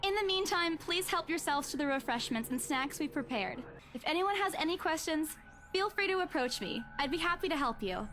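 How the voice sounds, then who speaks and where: A woman's voice: high voice, expressive, fairly quick, fairly bright tone. An actress playing a polite, easily flustered young female estate agent in a visual novel, hesitant and deferential. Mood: polite, professional.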